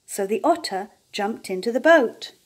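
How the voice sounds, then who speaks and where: An older woman reading a children's story aloud as an expressive storyteller. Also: fairly high pitch, normal speed.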